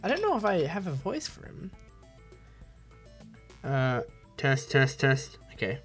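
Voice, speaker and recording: A woman's voice: sits low, big pitch swings, unhurried, faint music behind. A woman streamer reading a video game's text aloud in a subdued way, with casual asides. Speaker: let's-play streamer.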